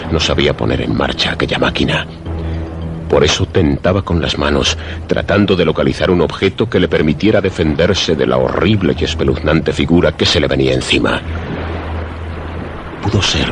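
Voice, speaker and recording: A man acting as a grave, solemn narrator of a suspense and horror radio drama, with deliberate dramatic phrasing. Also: extremely low pitch. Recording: dramatic music behind the voice.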